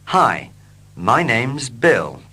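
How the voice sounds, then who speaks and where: Slow, lively, bright-voiced male English teacher. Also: medium pitch. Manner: slow, clear and expressive. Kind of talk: slow scripted reading for learners.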